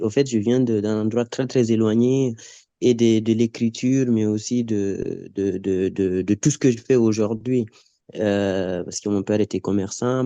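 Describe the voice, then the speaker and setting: Low, mellow-voiced man from Guinea. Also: no echo, muffled recording. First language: Pular (Fula). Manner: hesitant, many repeated words and restarts. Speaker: writer and playwright. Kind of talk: live panel discussion.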